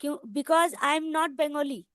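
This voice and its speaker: A woman with an Indian accent, a native Hindi speaker, hosting a casual English practice chat in halting learner English full of fillers and restarts. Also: high-pitched, steady tone, fairly bright tone, no room sound, clean studio sound.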